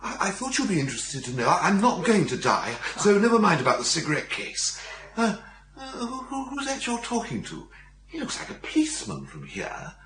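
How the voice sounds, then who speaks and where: An actor playing a feeble, hungover young English gentleman, timid and stammering, in a radio drama. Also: high voice, huge pitch swings, fairly quick, exaggerated voice. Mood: groggy, nervous. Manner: stammering, dithery.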